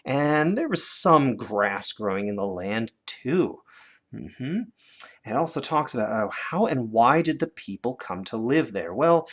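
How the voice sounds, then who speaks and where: A man's voice: medium pitch, a bit bright. A man with a North American accent teaching children in a conversational way, guiding them with questions as he demonstrates. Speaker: teacher presenting a lesson. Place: indoors at a desk, hands-on demonstration filmed from above.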